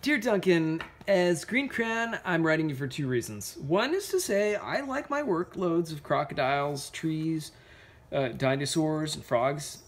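A man's voice: on the high side, expressive, a little slow, slight background noise, pitched above the performer's own voice. Man as a courteous, contented crayon. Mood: content, polite. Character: happy, polite green crayon, content peacemaker. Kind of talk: children's picture book read-aloud.

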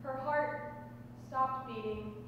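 A young woman performing spoken word poetry on a small stage, speaking deliberately and dramatically with pauses. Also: high-pitched, dull audio. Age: young adult. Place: small dark stage indoors. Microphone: distant camera microphone. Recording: echoey hall, distant mic, noisy room tone.

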